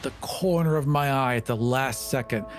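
Male player, medium pitch, casual improvised game narration, music behind. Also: normal speed.

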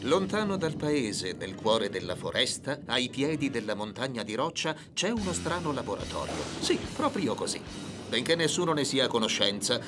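A male storyteller narrates a children's cartoon at a middle pitch. His delivery swings widely in melody, with music clearly behind him. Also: very animated, moderate pace.

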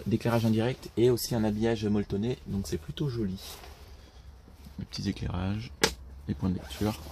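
A man's voice: fairly low pitch, warm tone, a little hiss or hum. A man, casually walking through a vehicle's features as he films inside a small camper van. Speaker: camper van dealer, co-presenter filming. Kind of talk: casual product walkthrough. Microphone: held camera, speaker behind it.

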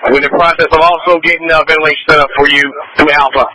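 A man's voice: a little high, little pitch movement, fast, bright, forceful tone. An American man acting as incident commander over emergency radio, giving terse orders and repeating instructions. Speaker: fire department incident commander. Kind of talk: emergency radio dispatch traffic. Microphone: two-way radio. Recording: noisy fire department radio transmission.

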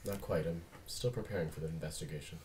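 Guy reading a calm android cop, really low voice, formal and restrained, bit of background noise. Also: quick pace, low-quality recording. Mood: calm, reserved. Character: calm, formal, precise male android detective. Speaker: actor reading an android detective at a table read. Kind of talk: scripted table read.

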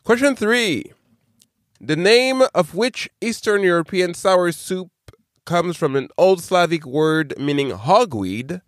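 A man hosting a casual trivia podcast, reading quiz questions slowly and deliberately, then chatting loosely between them. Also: a little high, no room sound, clean studio sound. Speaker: quizmaster.

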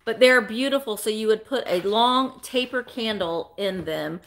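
A woman's voice: fairly high pitch, normal speed, fairly bright tone. A woman in her forties hosting a live vintage sale from a small room, chatting animatedly at her webcam and greeting viewers by name. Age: 40s. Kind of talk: chatty live selling stream. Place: small indoor room full of vintage decor. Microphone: webcam at desk, close framing.

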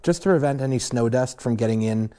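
A young man casually walking viewers through installing a snowmobile accessory in a garage workshop, relaxed and plain-spoken with many fillers. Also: medium pitch, brisk, clear, resonant tone. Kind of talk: casual step-by-step install walkthrough.

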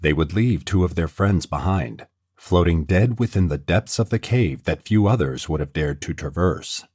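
A man narrating a scripted documentary in a measured, serious storytelling manner. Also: sits low, expressive, darkish tone, husky, no echo, studio quality.

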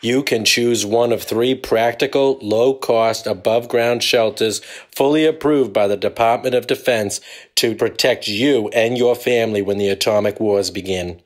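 An actor playing an earnest, measured civil defense warden giving a sales pitch in a fifties-style radio advertisement. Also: male voice, lightly acted.

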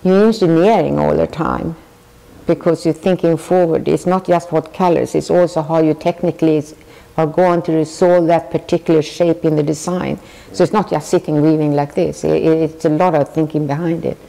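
Older Swedish-accented woman, earnest and halting, low warm tone. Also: fairly even intonation, creaky, in a large hall, rough recording. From Sweden. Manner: thoughtful, earnest, halting restarts. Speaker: tapestry artist. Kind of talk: interview answers. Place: large weaving studio with looms.

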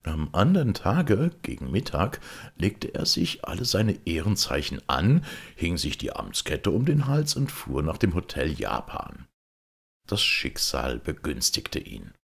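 Man, literary audiobook narration, expressive storyteller, low pitch, husky voice. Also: unhurried.

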